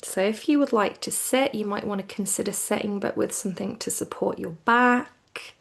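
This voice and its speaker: A woman teaching yoga nidra as a guided relaxation, soothing and hushed, with phrases that trail toward a whisper. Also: mid-range voice, moderate pace, creaky.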